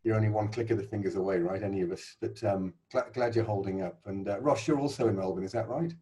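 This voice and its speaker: A man hosting a podcast interview, chatting easily and asking relaxed questions. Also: fairly low pitch, quick pace. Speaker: podcast host.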